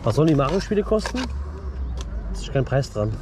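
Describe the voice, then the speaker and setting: A man's voice: a little high, sing-song, a little fast. A man chatting and haggling casually at an outdoor flea market, friendly and colloquial. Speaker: YouTuber shopping at flea market. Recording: very noisy outdoor market, traffic sounds. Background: loud outdoor urban noise, vehicles.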